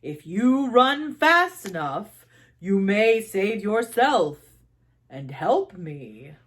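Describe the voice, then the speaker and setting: Woman playing old thunder grandfather, storybook spirit, strong, commanding, very slow, emphatic. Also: medium pitch, big pitch swings, fairly bright tone, exaggerated voice. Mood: solemn, urgent. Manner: slow, emphatic, drawn-out delivery.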